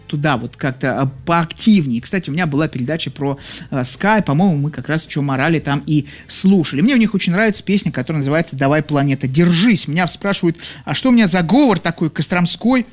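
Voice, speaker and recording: A man from Leningrad hosts a casual live stream about music, talking to his viewers. He has a slight burr on his r sounds and fills his chatter with hesitations. His voice sits a little high for a man. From Leningrad, Russia. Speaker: radio-style music show host.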